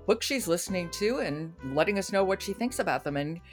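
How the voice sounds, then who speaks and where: A woman's voice: fairly low pitch, expressive, a little fast, faint music behind. A woman hosting a podcast in a conversational style, talking about books. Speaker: podcast host. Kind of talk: conversational book discussion.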